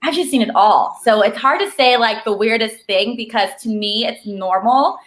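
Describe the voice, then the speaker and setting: A woman's voice: fairly high pitch, moderate pace, fairly bright tone. A young woman from Argentina, raised in California, chatting as a guest and filling her talk with "like.". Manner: chatty, lots of "like" fillers, laughs easily. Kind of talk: casual chat. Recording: echoey room.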